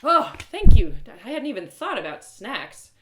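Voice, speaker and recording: A woman voicing a plucky, polite young heroine, wry and expressive, in a storybook reading. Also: fairly high pitch, a little slow, reverberant, pitched above the performer's own voice. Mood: polite, grateful.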